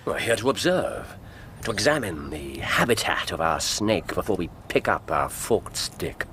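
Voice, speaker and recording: An actor playing a brisk, erudite, self-assured English master detective in a radio drama, incisive and teasingly dry. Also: male voice, sits low, big pitch swings, a bit bright, heavily acted. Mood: incisive, probing. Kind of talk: British radio drama acting. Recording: ambient noise behind.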